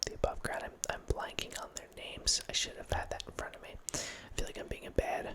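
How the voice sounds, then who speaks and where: A man in his thirties hosting an ASMR channel, whispering a rambling film chat into a close microphone in a small home room. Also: fast talker. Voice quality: soft unvoiced whisper. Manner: rambling, with restarts and quiet laughs. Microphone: close studio mic with headphones.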